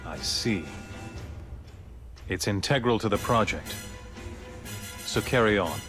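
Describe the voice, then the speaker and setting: A man playing a composed, authoritative director in a video game, speaking in a formal, measured, polite and guarded way. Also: fairly low pitch, animated. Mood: cool, guarded. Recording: background music under the voice.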